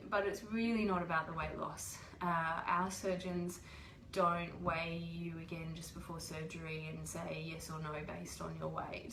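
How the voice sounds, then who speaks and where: A woman's voice: lowish voice, fairly bright tone, a little hiss or hum. A woman who has a cold, hosting a casual livestream and explaining things patiently with lots of ums. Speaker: host. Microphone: webcam or phone livestream. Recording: echoey room.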